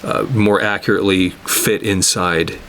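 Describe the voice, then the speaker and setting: A man giving an unscripted hands-on repair tutorial, explaining step by step in a halting way and repeating words as he works. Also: lowish voice, fairly even intonation, fairly bright tone, a little hiss or hum. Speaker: instructor demonstrating cassette tape repair.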